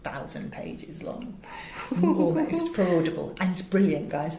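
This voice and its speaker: A woman's voice: fairly low pitch, big pitch swings, measured pace, mellow, slight background noise. A woman with an English accent, talking in an interview as a director, explaining her craft in an articulate way. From England. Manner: articulate, illustrates points with acted examples. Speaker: voice director and audiobook producer. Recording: roomy, echoey sound.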